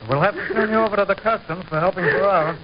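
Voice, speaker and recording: A man playing a tough American border patrol officer, brisk and commanding, in an old-time radio drama. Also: high voice, lightly acted. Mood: resolute. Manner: brisk, commanding, hard-boiled. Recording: muffled, noisy old radio recording.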